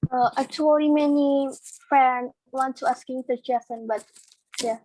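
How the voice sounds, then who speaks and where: Female moderator, Indonesian-accented English, high pitch, slow pacing, informal prompting of participants. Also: steady tone, darkish tone, a little hiss or hum, rough recording. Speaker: moderator calling on students. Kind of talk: informal online event moderation.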